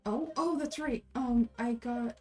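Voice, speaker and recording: A woman's voice: on the high side, unhurried, mellow, soft background music. A woman speaking casually as a game streamer between voiced lines. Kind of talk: casual gaming aside.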